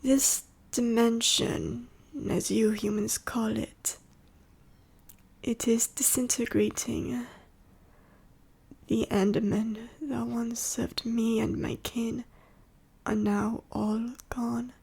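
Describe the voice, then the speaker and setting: A woman plays a dying she-dragon, the last of her bloodline, in an ASMR roleplay. Her voice is fairly high but husky and whispery, with a creaky edge. She speaks formally and gently, weak and short of breath, full of sorrow. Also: flat delivery, full character voice. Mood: wistful, weary. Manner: slow, formal, archaic phrasing with heavy pauses.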